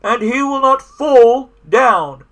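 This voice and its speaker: A man voicing a strange, pompous stage announcer in a storybook, proclaiming slowly and grandly. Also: very high-pitched, animated, fairly bright tone, voice pushed up, heavily acted. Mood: grandiose. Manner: pompous, slow drawn-out proclaiming.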